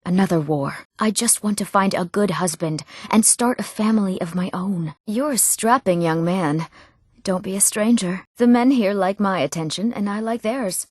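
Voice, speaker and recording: A woman playing an earnest, emotional young townswoman in a fantasy video game, speaking clearly and expressively. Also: medium pitch, normal speed, no echo, clean studio sound, lightly acted. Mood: weary, frank. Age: young adult. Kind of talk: scripted fantasy game dialogue.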